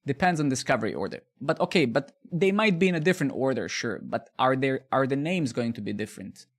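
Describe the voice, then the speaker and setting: Gravelly man. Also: medium pitch, expressive, fast talker. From Bosnia. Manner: chatty, restarts phrases, answers viewers' questions. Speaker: live coding streamer. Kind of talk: casual live-stream chat while coding.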